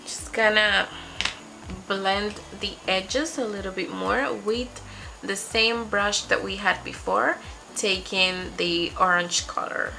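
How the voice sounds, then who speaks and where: A woman's voice: medium pitch, fairly even intonation, slow pace, vocal fry, over music, low-quality recording. A young woman whose first language is Spanish, chatting her way through a makeup tutorial in a small room at her desk. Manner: chatty, talks through each step while applying makeup. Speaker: beauty vlogger. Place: small home room with patterned wall. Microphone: webcam framing, seated at desk.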